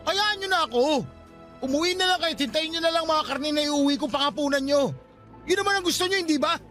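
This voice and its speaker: A man's voice: very high voice, fast talker, bright, forceful tone. A man acting as a defensive, burdened grown son in an emotional radio drama. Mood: bitter. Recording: music playing behind the voice.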